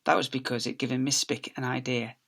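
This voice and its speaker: Bright, forceful man reading a story aloud. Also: mid-range voice, fairly even intonation, fast, gravelly. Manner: theatrical emphasis with acted sound effects. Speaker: teacher reading aloud to schoolchildren. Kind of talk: animated storytelling.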